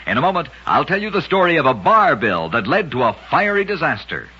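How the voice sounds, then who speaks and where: Bright, husky old-time radio narrator man. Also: fairly high pitch, sing-song, highs cut off. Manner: brisk, dramatic storytelling cadence. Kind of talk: scripted radio narration of curious facts.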